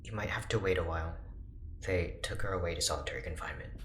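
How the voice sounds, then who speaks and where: A man's voice: low-pitched, quick pace, fairly bright tone. A man playing a guarded, protective scientist and doctor in an audio drama, speaking with careful, formal restraint. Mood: anxious, protective. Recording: roomy echo, clear background noise.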